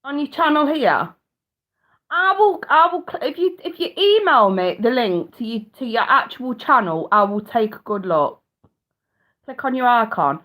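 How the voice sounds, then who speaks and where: A woman's voice: a little high, very animated, moderate pace, fairly bright tone. A middle-aged woman with a blocked nose chatting casually with viewers on a live stream, swearing now and then.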